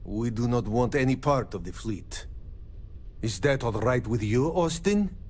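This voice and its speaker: A male actor plays a level-headed spaceship captain in a sci-fi video game. His phrasing is formal and measured, in a mid-pitched voice with very lively intonation. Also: slight background noise, lightly acted. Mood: measured, firm. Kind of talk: video game dialogue acting.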